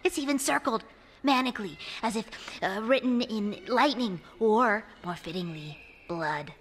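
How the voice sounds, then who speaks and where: A woman's voice: fairly high pitch, a little slow, close to an everyday voice. A woman playing an eager, exacting museum director and scholar, speaking emphatically in an audio drama interview. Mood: intent, excited. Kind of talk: acted documentary interview. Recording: echoey hall with background noise.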